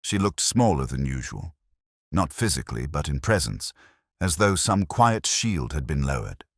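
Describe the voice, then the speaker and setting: A man reading scripted story narration in a measured, literary manner. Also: very deep voice, sing-song, no room sound, clean studio sound. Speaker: audiobook narrator.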